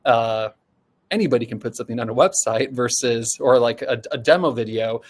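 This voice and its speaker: A man's voice: mid-range voice, a little fast. A man speaking as an expert guest in a casual webinar discussion, informal and conversational, often posing questions as he thinks. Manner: informal, conversational, poses rhetorical questions, frequent "like". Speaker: guest panelist, customer support expert at a software company.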